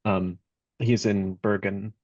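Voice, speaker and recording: A man taking part in a video workshop, chatting in a friendly, halting way and repeating words. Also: on the low side, level tone, darkish tone, no echo. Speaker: workshop participant. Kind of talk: casual chat on a video call. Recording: dull, lo-fi call audio.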